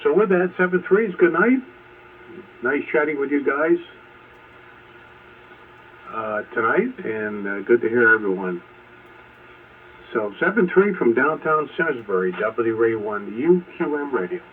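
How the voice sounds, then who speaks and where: A man's voice: medium pitch, big pitch swings, unhurried, long pauses. A man chatting casually as an amateur radio operator over an AM shortwave transmission. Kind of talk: casual sign-off chat over ham radio. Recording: distant phone-like noisy AM radio.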